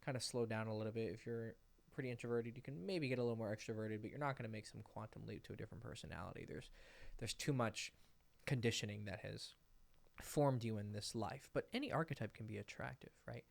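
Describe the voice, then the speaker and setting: Gravelly-voiced man coaching casually. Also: fast talker. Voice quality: describes own voice as fairly high-pitched. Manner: loose and animated, acts out example dialogue. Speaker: dating coach. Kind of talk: casual advice monologue to camera. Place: indoors, sitting in a rocking chair.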